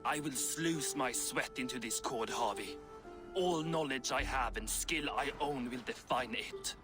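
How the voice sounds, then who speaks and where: An actor playing a shrewd, gruff, middle-aged dwarf craftsman, blunt and boastful, in a video game. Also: medium pitch, unhurried, music in the background, lightly acted. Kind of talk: video game cinematic acting.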